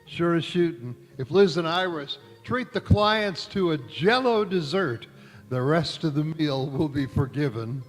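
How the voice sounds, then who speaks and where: A man's voice: a little high, lively intonation, a little slow, faint music behind. A man playing a hearty, emphatic 1940s radio announcer, selling and narrating in polished, folksy style on stage. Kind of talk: old-time radio ad and narration. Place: large indoor hall, live stage performance. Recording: reverberant church hall stage recording.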